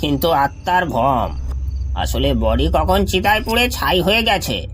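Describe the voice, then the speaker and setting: A man's voice: a little high, expressive, measured pace, a bit bright, slight background noise, voice pushed down. A man voicing a wary, sardonic village man in a cartoon ghost story, with a knowing, ominous manner.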